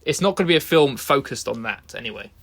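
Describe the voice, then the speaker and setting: A man's voice: mid-range voice, lively intonation, fast talker, fairly bright tone. A young man co-hosting a casual film review podcast, hedging and defending his opinions with many restarts. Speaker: podcast co-host.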